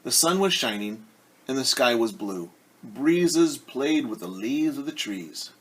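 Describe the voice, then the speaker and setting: A man reading a children's story aloud as a teacher, expressive, with casual asides that explain things simply. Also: mid-range voice, unhurried, slightly rough.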